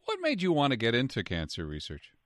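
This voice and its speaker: A man's voice: mid-range voice, wildly swooping pitch, fast talker, fairly bright tone, no echo, clean studio sound. A man hosting a conversational radio interview.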